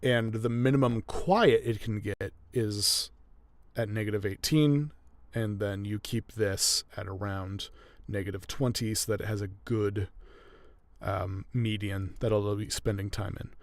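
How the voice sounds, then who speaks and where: Relaxed chatty man, dry close sound. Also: fairly low pitch, unhurried, no echo. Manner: relaxed, chatty, laughs often, explains as he goes. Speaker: audiobook narrator and editor. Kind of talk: casual chat while editing.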